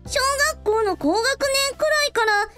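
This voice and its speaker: A cheerful, courteous schoolgirl who loves history, played by a woman in a radio drama. Her voice is extremely high and very animated, with music clearly behind it. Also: a little slow, heavily acted. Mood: earnest. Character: cheerful, polite teenage schoolgirl history fan.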